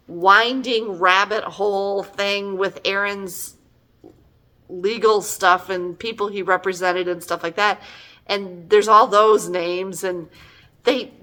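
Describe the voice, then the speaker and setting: A woman's voice: medium pitch, bright, forceful tone. A middle-aged woman giving a casual, chatty book review in an exasperated, emphatic, conversational way.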